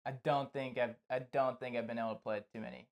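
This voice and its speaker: A man's voice: medium pitch, steady tone, fast, creaky, dull audio. A man hosting a podcast, asking questions and chatting casually with his guest. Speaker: podcast host, interviewer. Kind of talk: casual interview chat.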